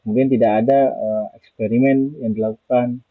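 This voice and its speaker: The audio is thin and narrowband like a phone line, with very little room sound. An Indonesian man with a slightly rough, husky voice presents slides in an informal way. He explains point by point, haltingly, often repeating himself. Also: medium pitch, steady tone, no room sound. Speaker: student presenter reviewing an article.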